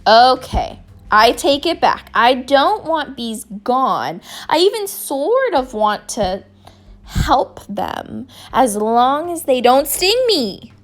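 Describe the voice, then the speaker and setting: A woman's voice: high voice, big pitch swings, slow, heavily acted. A woman voicing a stubborn, skeptical child who is afraid of bees in a storybook. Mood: grudging.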